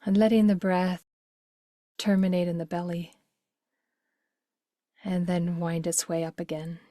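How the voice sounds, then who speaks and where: A woman with a fairly low voice guides a meditation in a very dry recording. Her delivery is gentle and soothing, taking its time. Phrase endings soften into breath. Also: flat delivery, measured pace, no echo.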